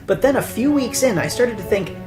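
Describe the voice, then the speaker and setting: A woman's voice: fairly high pitch, expressive, a little fast. A woman filmmaker giving a scripted behind-the-scenes narration about their own work. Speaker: writer and filmmaker. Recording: voice over clear background music. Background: music.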